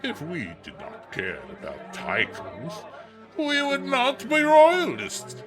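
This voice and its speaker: An actor playing a jovial, pompous middle-aged aristocrat in a period audio drama, with a declamatory manner. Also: male voice, extremely high pitch, wildly swooping pitch, takes it slowly, over a noisy crowd, heavily acted. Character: pompous, jovial aristocratic father, French royalist marquis. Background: music.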